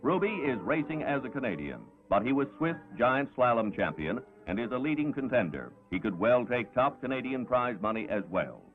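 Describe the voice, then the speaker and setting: A man's voice: mid-range voice, very animated, normal speed, hardly any pauses. A man reading scripted sports narration in a 1960s newsreel style. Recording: tinny 1960s film soundtrack with music.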